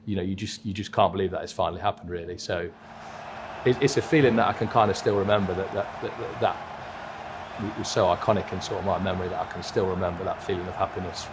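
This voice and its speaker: A man's voice: low-pitched, steady tone, quick pace, background noise. A man casually looking back on memories in an interview.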